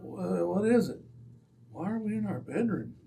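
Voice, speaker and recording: An actor as a bumbling, earnest gentleman sidekick in a radio mystery. His voice is gravelly and a touch high, swinging up and down in pitch. He speaks in old-fashioned exclamations with some hesitancy. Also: expressive, moderate pace, soft background music. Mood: drowsy, befuddled. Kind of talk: old-time radio drama acting.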